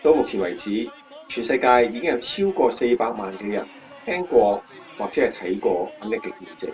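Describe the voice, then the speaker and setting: A man's voice: fairly low pitch, animated, moderate pace, darkish tone, far from the mic, lo-fi sound, natural voice. Radio drama narrator-interviewer: male actor, earnest and presenterly, slightly off-mic over guitar music. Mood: admiring.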